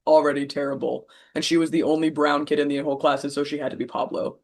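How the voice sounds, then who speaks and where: A panelist in a casual group conversation with a gravelly, fry-laden voice at a middle pitch. The delivery is wry and self-deprecating, punctuated by laughs. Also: flat delivery, fast.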